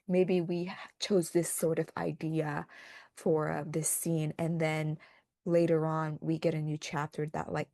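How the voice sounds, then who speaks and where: A woman's voice: deep voice, darkish tone, dry recording, clean studio sound. A woman in casual podcast chat, talking in a reflective, hedging way. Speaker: fandub team member on podcast.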